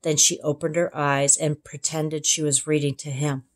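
A woman narrating a children's storybook as a kindergarten teacher, expressive, in clearly separated phrases. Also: low-pitched, moderate pace. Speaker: kindergarten teacher reading aloud. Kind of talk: storybook read-aloud narration.

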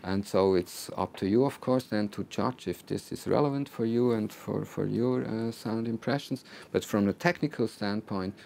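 A man in his sixties presenting technical material to a small group, phrasing deliberately with repeated words and hesitations. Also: fairly low pitch, vocal fry, warm tone, slight background noise. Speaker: loudspeaker designer presenting. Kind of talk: technical talk to a small audience. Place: small recording studio control room with seated audience.

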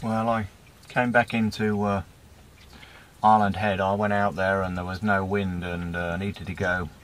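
Australian man, 50s, rambling sailor vlog, low voice, clear background noise. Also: level tone, measured pace, fairly bright tone. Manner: rambling, many "uh" fillers, laid-back. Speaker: sailing vlogger. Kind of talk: casual self-filmed commentary. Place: outdoors on a windy beach and on a small sailboat. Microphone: camera mic, handheld or mounted.

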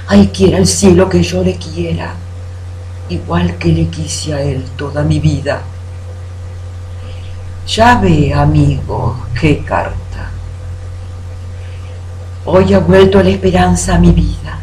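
A woman declaiming a poem in an expressive, deliberate manner with dramatic pauses. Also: low-pitched. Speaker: poetry reciter. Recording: roomy echo with background hum.

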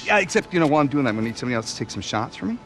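An actor playing a boastful, pushy American paparazzo in a game cutscene, excitable, wheedling and stammering. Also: male voice, medium pitch, rapid-fire, over loud music. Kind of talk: video game cutscene acting.

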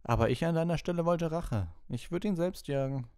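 A man plays a smug teenage schoolboy bully in a storybook. The voice is pitched well up from a low natural register and goads slyly. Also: brisk, warm tone, clean tone, no echo, clean studio sound, lightly acted. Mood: goading.

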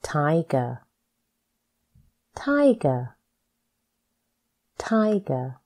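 A woman English teacher reading scripted pronunciation practice with deliberate, careful enunciation. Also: low voice, big pitch swings, a little mellow. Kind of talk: scripted lesson narration, reading words for practice.

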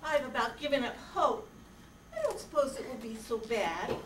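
A woman's voice: on the high side, expressive, normal speed, lightly acted. A woman playing a plain-spoken castaway in a children's radio adventure. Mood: glum. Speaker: amateur performer in a radio play reenactment. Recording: echoey, noisy room from far off.

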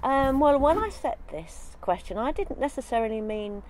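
A woman's voice: high-pitched, lively intonation, normal speed, noisy background. An older woman in a casual outdoor interview, chatting about sailing life with frequent ums. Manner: chatty, thinks aloud with many ums. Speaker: interviewee, sailor. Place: outdoors in a leafy garden.

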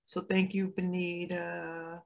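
A woman's voice: lowish voice, little pitch movement, slow pace, gravelly, faint music behind. A woman facilitating an online workshop over a video call, affirming speakers and reading comments from the chat. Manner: affirming, reads from chat, hums while scrolling.

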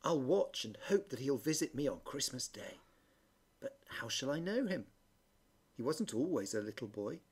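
A woman voicing a kindly, thoughtful old male shoemaker in a storybook, with a husky voice. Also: a bass voice, big pitch swings, moderate pace, a little mellow, voice pushed down, exaggerated voice. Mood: musing, wondering. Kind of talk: storybook character reading.

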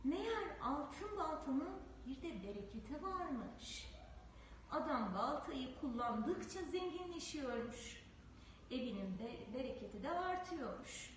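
A woman's voice: sits high, recorded from a distance, a little hiss or hum. Woman telling a children's tale. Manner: slow, expressive storyteller. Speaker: preschool teacher. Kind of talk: reading a folk tale aloud to children. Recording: very echoey room, voice off-mic.

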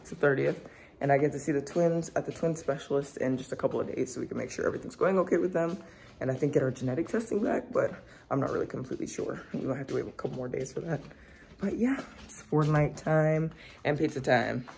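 A woman's voice: deep voice, fast, creaky. A young woman with a slightly nasal, congested voice, chatting casually to her phone camera for a family vlog. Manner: chatty, relaxed, talks to camera. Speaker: family vlogger. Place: car, restaurant and home. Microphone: handheld phone camera. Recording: phone video with background noise.

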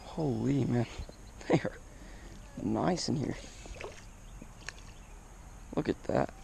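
A man with a gravelly, mid-pitched voice talks casually to his viewers as a fishing vlogger. He is excitable and slangy, full of exclamations. The sound comes from an action camera outdoors, with light background noise. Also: extremely slow. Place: outdoors on a grassy reservoir bank. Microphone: body-worn action camera mic.

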